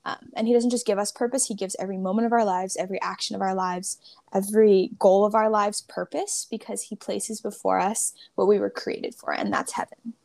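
Young woman with gravelly fry, podcasting. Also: mid-range voice, fairly even intonation, fairly quick, a little mellow, dry recording. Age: young adult. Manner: joking, self-deprecating, talks in loose restarts. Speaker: podcast co-host. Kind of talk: casual faith podcast chat. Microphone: remote call with headphones.

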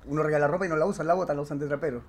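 A man with a Chilean accent tells anecdotes as a guest in a casual conversation. His delivery is animated and slangy. He often halts and searches for the next word. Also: fairly high pitch. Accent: Chilean Spanish. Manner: halting, slangy, animated storytelling. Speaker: podcast guest, stage actor.

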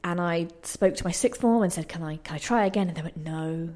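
Young woman, lowish voice, chatty. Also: a little fast, darkish tone. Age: young adult. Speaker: interview guest, playwright and actor. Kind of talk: casual, chatty personal storytelling. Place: radio station.

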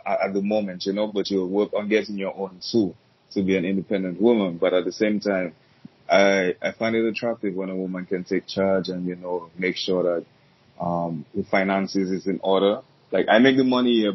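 Husky low-voiced man, easygoing podcast guest. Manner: easygoing, rambling with restarts and fillers. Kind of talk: casual podcast chat. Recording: dull lo-fi sound.

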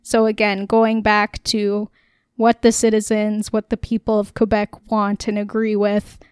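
A woman's voice: mid-range voice, completely flat delivery, unhurried, a little mellow, clear, resonant tone, dry recording, studio quality. A woman co-hosting a casual political podcast, explaining at length with a wry, sarcastic edge and frequent laughs. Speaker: podcast co-host.

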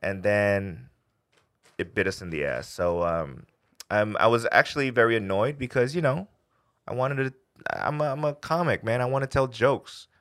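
A man's voice: lowish voice, expressive, normal speed, fairly bright tone, no echo, clean studio sound. A man co-hosting a podcast as a stand-up comic, chatting casually with slang and swearing. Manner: slangy, profane. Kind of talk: casual chat.

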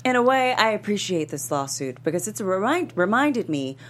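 A woman's voice: mid-range voice, sing-song, brisk, a little hiss or hum, lightly acted. A woman playing a famous talk show host giving heartfelt, emphatic public speeches in a script table read. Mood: earnest, grateful.

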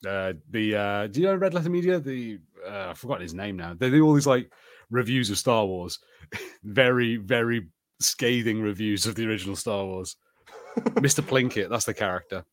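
A man co-hosting a podcast in casual watch-party chat, talkative and quick to laugh. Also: fairly low pitch, lively intonation, no echo, clean studio sound. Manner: chatty, laughs often. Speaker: podcast co-host.

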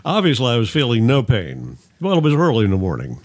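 A man's voice: medium pitch, huge pitch swings, fast. An older man chatting casually as a podcast co-host, folksy and jokey. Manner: folksy, jokey, prone to chuckling. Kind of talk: casual chat.

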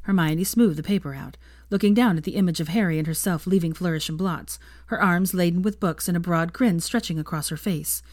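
A woman's voice: lowish voice, fairly quick, darkish tone, no room sound, studio quality. A woman reading fan fiction aloud as scripted audiobook narration.

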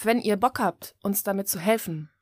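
Eine Frau um die dreißig als Co-Host eines Podcasts, die lebhaft erzählt, oft lacht und locker flucht. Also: female voice, medium pitch, moderate pace, breathy edge. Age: 30s. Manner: lively, laughs often, swears casually. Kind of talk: casual chat.

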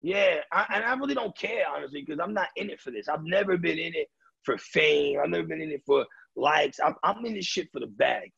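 A man with a deeply gravelly, husky rasp talks loosely and streetwise, stumbling through repeated starts and fillers. His voice sits a bit high for a man. The recording is dry and dull. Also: expressive, brisk, a bit bright, no echo. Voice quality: raspy. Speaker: rapper and DJ interviewee. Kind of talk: casual interview talk.

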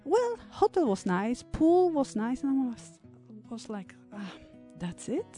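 A woman in her thirties from Poland, an English speaker, talking reflectively as an interview guest, with many pauses and fillers. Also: high voice, big pitch swings, mellow, soft background music. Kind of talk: conversational interview.

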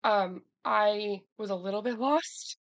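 A woman co-hosting a casual podcast chat, hoarse from a cold, talking in a chatty way with lots of restarts. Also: mid-range voice, slow, no echo. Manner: chatty, many fillers and restarts. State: hoarse from a cold, losing her voice. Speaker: podcast co-host.

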